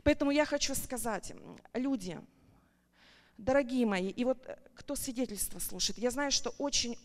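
A woman in her thirties shares a heartfelt church testimony, her fairly high voice climbing higher whenever her feelings run strong. The long reverb of a big meeting hall trails behind every phrase. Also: moderate pace. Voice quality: animated and heartfelt, pitch rising high when excited. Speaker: church member giving testimony. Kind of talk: emotional personal testimony.

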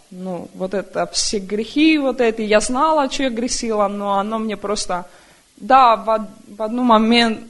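A woman with a slight American accent giving a casual, halting personal testimony. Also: medium pitch. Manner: casual, halting, plain-spoken. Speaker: church member giving testimony.

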